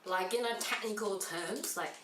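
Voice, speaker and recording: A woman entrepreneur in a casual chat, telling an animated story with laughs. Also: mid-range voice, moderate pace, background noise. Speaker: entrepreneur guest. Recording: echoey room, mic at a distance.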